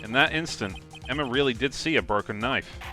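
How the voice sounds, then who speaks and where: A man's voice: mid-range voice, fairly quick, sharp tone, faint music behind, natural voice. A man voicing an earnest young defense attorney in a live fan dub, laying out his case step by step. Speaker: fan voice actor.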